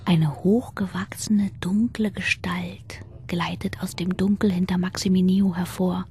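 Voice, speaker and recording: A woman narrating a radio drama in a breathy half whisper, reading literary prose. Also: fairly low pitch, little pitch movement, a little slow, mellow, slight background noise. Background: music.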